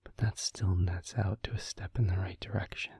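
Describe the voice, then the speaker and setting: A man voicing a tender, devoted vampire boyfriend in an intimate ASMR comfort roleplay, speaking in a breathy, husky whisper close to the microphone. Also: deep voice, steady tone, warm tone, no echo, heavily acted. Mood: earnest, reassuring. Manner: slow, tender, soothing, reassuring. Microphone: close microphone, dry.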